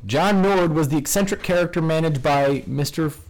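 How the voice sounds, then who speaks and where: A man's voice: a little high, brisk, rough recording. A man hosting a casual trivia game among friends, reading out questions and laughing often. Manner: animated, laughs often, reads questions aloud. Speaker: quiz host.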